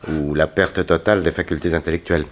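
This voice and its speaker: An actor playing a grave, authoritative hospital doctor in a 1960s French radio drama, serious and measured. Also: male voice, rumbling low, fairly even intonation.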